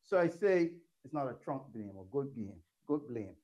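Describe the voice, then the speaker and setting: A Caribbean man hosting an interview in a relaxed chatty way, rambling through his questions. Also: mid-range voice, normal speed, dull audio. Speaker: interview host. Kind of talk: casual interview chat.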